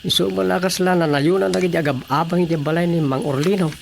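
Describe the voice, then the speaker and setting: A man's voice: fairly high pitch, steady tone, fast talker, vocal fry, a little hiss or hum. A man acting as an easygoing village councilman in a radio drama comedy, muttering with hesitant interjections. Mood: conversational. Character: male village councilman, casual, muttering, easygoing local official.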